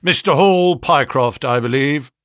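A man voicing a brisk, flattering financial agent in a story, speaking sharply with grand, pushy salesmanship. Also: fairly high pitch, slightly rough, no echo, studio quality, voice pushed up, exaggerated voice. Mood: brisk, probing. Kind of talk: audiobook character dialogue.

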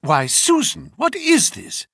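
A man's voice: high-pitched, huge pitch swings, slow pace, creaky, a bit bright, breathy edge, no room sound, clean studio sound, voice pushed up, full character voice. A man voicing a genteel, earnest and easily affronted lady in an audiobook story, with proper, formal speech. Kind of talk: storybook character voice.

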